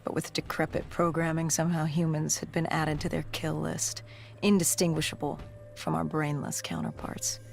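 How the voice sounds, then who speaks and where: A grim cyber-augmented soldier, voiced by an actor, looks back on a disaster in a video game cutscene. The voice is low-set and gravelly, with creaky edges and somber, measured phrasing. Music plays underneath. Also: level tone, lightly acted. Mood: grim, reflective.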